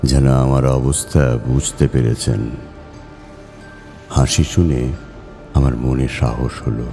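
A man reading a ghost story aloud in a measured, dramatic storytelling manner. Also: extremely low pitch, steady tone, warm tone. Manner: measured, acts out the dialogue. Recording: background music under the voice.

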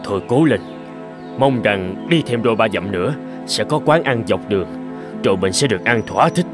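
An actor playing a greedy, foolish poor peasant in a cartoon folk tale, whining and grumbling. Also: male voice, fairly low pitch, lively intonation, moderate pace, music in the background, natural voice. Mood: exhausted, despairing.

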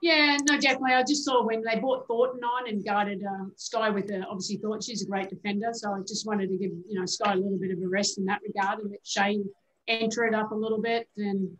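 A middle-aged woman, a head coach, speaks candidly over a webcam video call from an indoor media room. Her talk is measured and loose, full of small fillers and restarts. Her voice carries a noticeable echo off the room's walls. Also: medium pitch, steady tone, a bit bright, slight background noise. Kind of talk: postgame press conference answers. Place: indoor media room before a team backdrop. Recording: echoey room sound.

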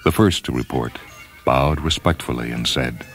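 A man narrating a scripted story in a measured, solemn manner. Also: very deep voice, fairly bright tone. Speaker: narrator, reader. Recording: music behind.

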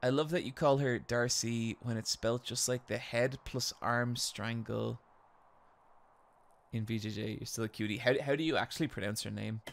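A man's voice: mid-range voice, fairly even intonation, normal speed, vocal fry. Chatty Irish-accented man streaming a game. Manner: chatty, reads and answers chat, swears casually. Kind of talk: casual live-stream commentary while playing. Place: home bedroom. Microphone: desk mic with webcam.

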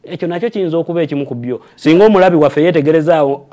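A man's voice: a little high, very fast, warm tone. A man co-hosting a sports talk show, explaining things steadily and mixing in English terms. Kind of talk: casual studio discussion.

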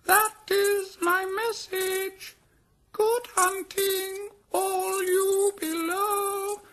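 A shrill piping kite bird. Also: male voice, flat delivery, extremely slow, fairly bright tone, exaggerated voice. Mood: urgent. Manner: shrill piping cries from above. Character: excitable male kite bird, high shrill messenger. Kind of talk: audiobook character voice.

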